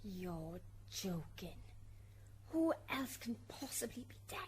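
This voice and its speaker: A woman's voice: medium pitch, big pitch swings, takes it slowly, darkish tone, a little hiss or hum. A woman playing an indignant, exasperated mother in an amateur radio drama. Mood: disbelieving.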